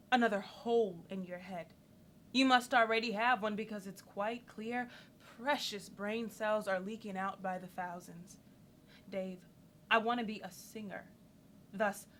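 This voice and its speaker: A woman's voice: medium pitch, a bit bright, a little hiss or hum, close to an everyday voice. An actress playing a sarcastic, ambitious young woman scolding her partner in a theatrical monologue, cutting, articulate and wry.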